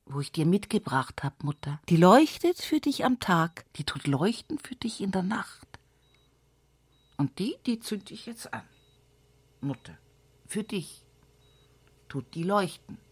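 An actress playing a devout, grieving middle-aged country woman in a radio play monologue, with a rambling, plaintive, often hushed way of talking. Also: on the low side, a little hiss or hum. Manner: rambling, plaintive, repetitive, often dropping to hushed breathy murmurs. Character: devout, grieving rural Bavarian woman at her mother's sickbed. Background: prominent music.

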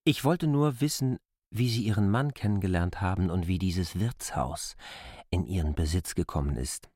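A man's voice: low voice, normal speed, darkish tone. A man playing a persistent, uneasy land surveyor in a literary radio drama, formal yet pointed in his questioning. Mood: probing, polite.

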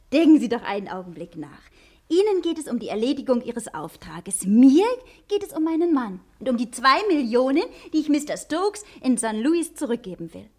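An actress playing a self-assured, determined wife in a crime serial, brisk, sharp-tongued and teasing. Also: female voice, sits high. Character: self-assured, determined wife hunting her fugitive husband.

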